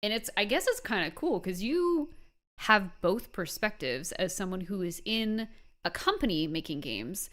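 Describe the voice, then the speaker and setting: A woman's voice: medium pitch, sing-song, moderate pace, a bit bright. A woman hosting a casual interview, speaking informally and sympathetically with hesitant phrasing. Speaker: interviewer, host. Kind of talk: casual chat.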